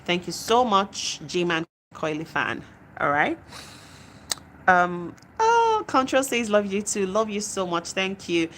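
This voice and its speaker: A woman's voice: on the low side, a little hiss or hum. A young adult woman with a Nigerian accent, chatting casually on a live stream as she reads out and answers viewer comments, laughing often.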